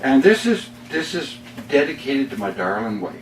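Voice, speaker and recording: A middle-aged Canadian man reading his own poems live, with measured, deliberate phrasing and dramatic pauses. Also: medium pitch, sing-song. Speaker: poet reading own work. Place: bookstore reading before a small audience. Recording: roomy, distant mic, faint noise.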